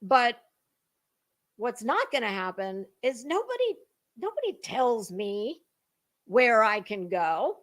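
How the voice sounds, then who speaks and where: A woman with a high-pitched voice delivers a casual, dramatic monologue in the manner of an online commentator. Her phrasing is halting and emphatic, with deliberate pauses and words repeated as she goes. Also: fairly bright tone.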